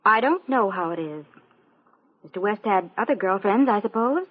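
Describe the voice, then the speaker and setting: A woman's voice: mid-range voice, animated, normal speed, slightly rough. An actress playing a sassy, defiant young show-business woman with pert comebacks in an old-time radio mystery. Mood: pert, defensive. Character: sassy, defiant young showbiz blonde under questioning. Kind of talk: old-time radio detective drama acting. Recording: muffled vintage radio recording.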